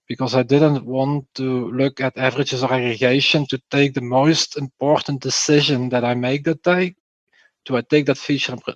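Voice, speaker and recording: A man's voice: medium pitch, dry recording. A man with a Flemish Belgian accent explains his field as an interview guest. He leans on key phrases by repeating them. The recording is dry and slightly dull. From Belgium, Flanders. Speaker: podcast guest, performance testing engineer.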